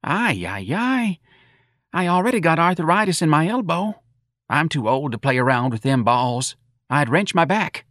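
A man's voice: a little high, sing-song, moderate pace, fairly bright tone, no room sound, studio quality, voice pushed up. A man voicing an aggrieved older working-class mother in an audiobook, with plaintive, folksy, ungrammatical talk.

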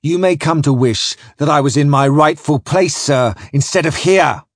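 A man's voice: mid-range voice, lively intonation, moderate pace, no echo, clean studio sound, lightly acted. A man voicing a pompous, scholarly, bad-tempered ship's captain in an audiobook story, pedantic and declamatory. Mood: raging, bawling. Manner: pedantic, declamatory, quoting Latin.

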